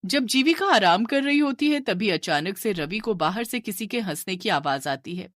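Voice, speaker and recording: A woman's voice: medium pitch, lively intonation, normal speed, a bit bright, dry recording, studio quality. A woman narrating a scripted horror story.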